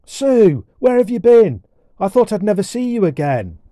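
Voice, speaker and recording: A man's voice: sits high, huge pitch swings, a little slow, dark tone, dry recording, clean studio sound, voice pushed up, full character voice. A man voicing an earnest, anxious young boy with an urgent manner in a storybook reading. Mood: relieved, puzzled.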